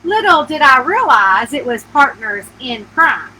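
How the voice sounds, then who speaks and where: A woman with a high, bright voice reads a first-person story in an expressive bedtime-reading style. She acts out the dialogue as she goes. There is faint noise behind her. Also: measured pace, poor audio. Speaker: mystery author reading her own book.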